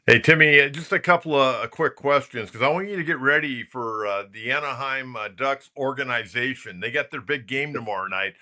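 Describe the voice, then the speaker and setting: A man's voice: medium pitch, a little fast, hardly any pauses, bright, forceful tone. A man hosting a sports talk show, speaking in a direct, colloquial, conversational way.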